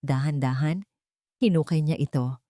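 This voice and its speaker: A woman reading a dramatic scripted story as narrator, with measured, suspenseful delivery. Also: sits low, mellow, dry recording, clean studio sound.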